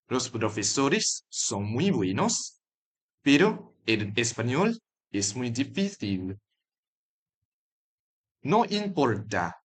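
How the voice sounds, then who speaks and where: Slow, husky man teaching a language lesson. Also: medium pitch. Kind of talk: slow repeat-after-me lesson narration.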